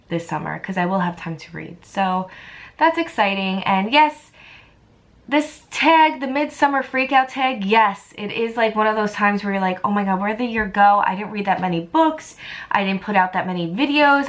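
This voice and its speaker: An enthusiastic woman talks casually to her viewers in the style of a book vlogger. Her delivery is chatty and informal, with an average pitch for a woman. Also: fairly bright tone.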